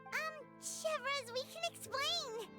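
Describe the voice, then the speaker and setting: A woman voicing a tiny, childlike fairy companion in a video game, chatty, squeaky and excitable. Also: unhurried. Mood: eager, puzzled. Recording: loud music behind the voice.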